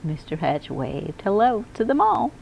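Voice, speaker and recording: Female storybook reader, animated and lively, slightly low-pitched with some creak, faint noise behind. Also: unhurried. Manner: expressive, acts out dialogue and emphasis. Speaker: school media specialist reading aloud. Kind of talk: children's storybook read-aloud.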